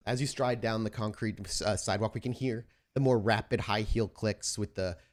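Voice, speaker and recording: A man acting as game master, narrating an improvised tabletop story in a descriptive, halting way. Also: on the low side, steady tone.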